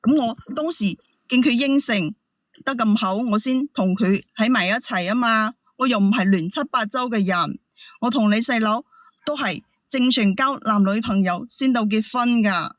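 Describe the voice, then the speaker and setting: Higher-pitched, defensive Hong Kong Cantonese woman. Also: moderate pace, no room sound. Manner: defensive, protesting. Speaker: caller defending herself. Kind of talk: heated personal explanation.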